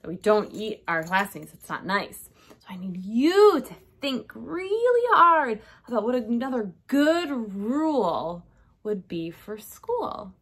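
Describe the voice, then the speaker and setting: A woman kindergarten teacher reads to young children in a slightly high voice that moves up and down a lot. She takes her time and speaks right to the children, checking in with questions. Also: slow pace, slightly rough. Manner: expressive, addressing children directly with check-in questions. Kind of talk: read-aloud storytelling for young children. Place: small decorated indoor room.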